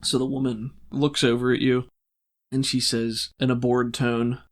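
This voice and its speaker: A man with a medium-pitched voice runs a tabletop roleplaying game. He sets scenes descriptively and off the cuff, pausing to hunt for the right word. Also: level tone. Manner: descriptive, improvised, hesitant searching for words. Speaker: game master.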